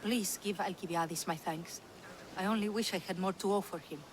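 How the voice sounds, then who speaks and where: A woman plays a weary, guarded runaway wife in a video game cutscene. Her mid-pitched voice is composed and earnest. There is faint ambient noise behind it. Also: moderate pace, lightly acted, poor audio. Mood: grateful, earnest.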